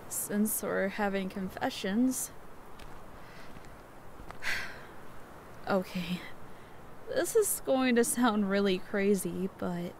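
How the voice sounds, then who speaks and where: A woman's voice: mid-range voice, extremely slow, long pauses, a little mellow, slight background noise. A woman voicing a young pony queen who opens up shyly, in a fan audio drama. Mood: sheepish. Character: young pony queen, guarded but warm. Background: musical score.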